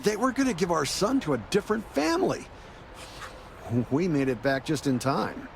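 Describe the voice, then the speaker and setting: A man's voice: sits high, very animated, moderate pace, creaky, exaggerated voice. An actor playing an indignant father in a video game. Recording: noisy game ambience.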